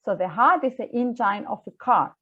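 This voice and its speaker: Woman researcher, halting English. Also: a little high, expressive, phone-quality sound. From Argentina. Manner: halting, with fillers and small slips. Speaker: researcher presenting to schoolchildren. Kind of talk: simple explanatory school talk.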